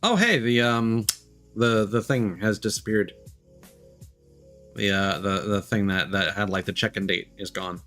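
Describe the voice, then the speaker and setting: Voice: deep voice, a little slow, a bit bright. A game streamer giving casual, playful commentary and joking along with a co-host. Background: game music.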